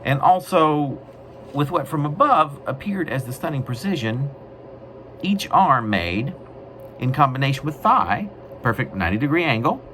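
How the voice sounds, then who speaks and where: Man reading poetry, swinging pitch, noisy recording. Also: sing-song, normal speed, pushed and bright. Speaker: poet reading own work. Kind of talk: dramatic poetry reading with casual spoken introduction.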